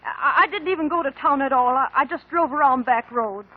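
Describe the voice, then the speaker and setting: A woman's voice: sits high, fairly quick, natural voice. An American woman acting an anxious, loyal young country wife in a 1950s radio drama, plain-spoken and emotional. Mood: upset, hesitant. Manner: plain rural speech, emotional. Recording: narrow-band old radio recording.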